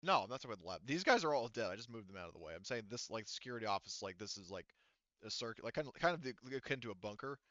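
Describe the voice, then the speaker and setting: Male game master, lowish bright voice, clean tone, halting rules explanations, slightly dull lo-fi audio. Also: sing-song, no echo. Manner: halting, thinking aloud while explaining rules. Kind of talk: running an online tabletop roleplaying game.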